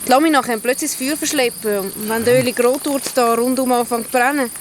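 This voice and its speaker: A woman's voice: on the high side, fairly even intonation, fast talker, a little hiss or hum, natural voice. A woman voicing a brave, wary fugitive creature in a children's radio play, with a Swiss German accent. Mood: cautious, explaining.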